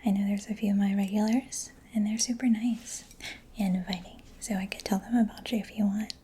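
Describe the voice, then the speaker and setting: A woman doing a soft-spoken ASMR mechanic roleplay, breathy and mostly whispered, gently murmuring as if giving personal attention, close to the microphone. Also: medium pitch, steady tone, a little fast. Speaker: ASMR performer. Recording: close-miked with clear background noise.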